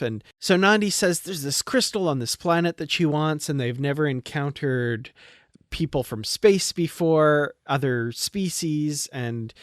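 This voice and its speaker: A man's voice: mid-range voice, clear, resonant tone, no room sound. Man co-hosting, very dry close sound. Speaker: podcast co-host. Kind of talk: casual discussion of a TV show.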